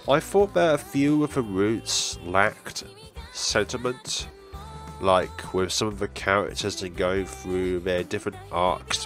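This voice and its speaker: A man talking in an earnest, reflective, halting way as a gaming channel host. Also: on the low side. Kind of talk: reading visual novel text, reflective commentary.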